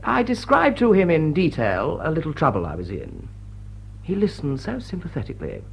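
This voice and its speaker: An actor playing a smitten English aristocrat in a radio play, with an upper-class accent and an urbane, drily witty, tender manner. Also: male voice, on the high side, huge pitch swings, moderate pace, creaky, husky, heavily acted. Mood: wry confessing. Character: smitten, wry English aristocrat lord wooing a woman. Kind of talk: British radio play acting.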